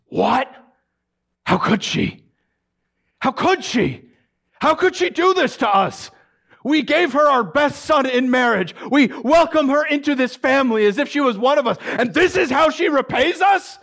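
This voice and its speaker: A man voicing a stern, furious older father, shouting his indignant words in a theatrical monologue. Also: fairly high pitch, expressive, normal speed, vocal fry, pitched above the performer's own voice, full character voice.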